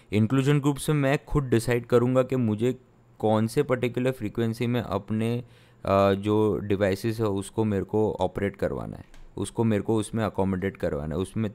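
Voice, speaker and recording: A young man, a sound engineer from India, explains software step by step in a tutorial, thinking aloud with many fillers and restarts. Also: fairly low pitch. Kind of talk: screen-recorded software tutorial.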